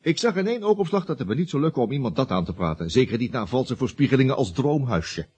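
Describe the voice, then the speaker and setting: Young male estate agent, wry, earnest, quick, swooping intonation, husky edge, meek when challenged, radio play. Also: mid-range voice, very animated, darkish tone. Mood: aggrieved, sardonic. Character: earnest young estate agent, cheeky but meek before his boss. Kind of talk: Dutch radio drama acting.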